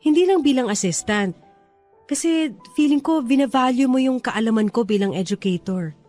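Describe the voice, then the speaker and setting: A woman's voice: high-pitched, animated, moderate pace, a little mellow, faint music behind. A woman playing a humble, grateful teacher in a radio drama, earnest and deferential toward her mentor. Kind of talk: radio drama dialogue in Taglish.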